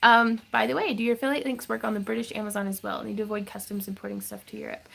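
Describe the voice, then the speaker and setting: A woman's voice: mid-range voice, little pitch movement, fast, gravelly, a bit bright. A woman hosting a craft livestream Q&A, chatty and giggly, with lots of fillers, from her home craft room. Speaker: craft channel host. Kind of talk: livestream Q&A chat.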